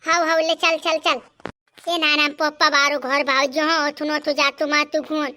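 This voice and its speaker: A chatty village man in a cartoon, rambling on in a sing-song Bhili dialect, as a voice actor plays him. His voice is high and sped up, with a squeaky cartoon edge. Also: little pitch movement, moderate pace, bright, forceful tone.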